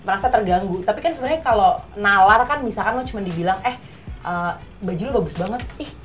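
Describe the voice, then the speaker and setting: A woman's voice: medium pitch, fast. A young woman chats casually in a group discussion, slangy, acting out examples and checking that others follow. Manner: slangy, animated role-play examples, checks listeners follow. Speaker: talk show panelist. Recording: music playing behind the talk. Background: background music.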